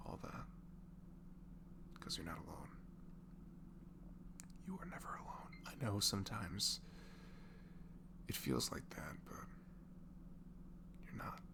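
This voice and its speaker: A man softly improvising an intimate, comforting voice message to a friend, halting and casual, sometimes slipping into a murmur. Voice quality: low velvety, gravelly. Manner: gentle, halting, drifts into breathy murmurs, casual teasing.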